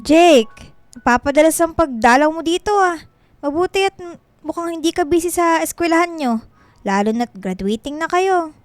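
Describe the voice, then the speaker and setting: A woman's voice: very high-pitched, animated, moderate pace, dry recording, faint music behind. A woman playing a blunt, nosy middle-aged mother in a radio drama, teasing and probing emphatically. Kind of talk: Filipino radio drama acting.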